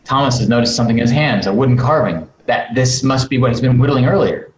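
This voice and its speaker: A man's voice: mid-range voice, fast, highs cut off. A man reading stage directions as scripted narration at a table read over a video call. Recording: roomy, echoing video call audio.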